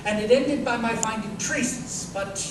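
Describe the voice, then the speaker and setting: An actor playing an incisive, self-assured detective in a live 1930s-style radio drama, speaking precisely and emphatically. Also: male voice, very high-pitched, unhurried. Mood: analytical. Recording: distant, echoey live stage recording, noisy room.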